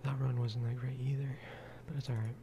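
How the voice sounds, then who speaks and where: Soft-spoken man murmuring over clear background noise. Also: flat delivery. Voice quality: soft, hushed voice, at times breathing into whisper. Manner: unhurried, low-key, musing aloud. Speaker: gaming video creator. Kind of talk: soft-spoken ASMR game commentary.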